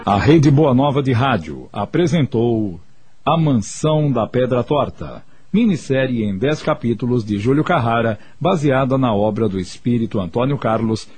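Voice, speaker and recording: A man's voice: mid-range voice, expressive, moderate pace, darkish tone, slightly rough. A Brazilian man announcing scripted radio credits in a formal, carefully enunciated manner. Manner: formal, enunciated list reading.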